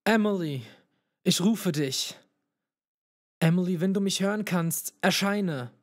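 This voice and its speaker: An actor playing a nervous, impulsive young student in a German audio drama. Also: male voice, high-pitched. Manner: jittery, quick to act.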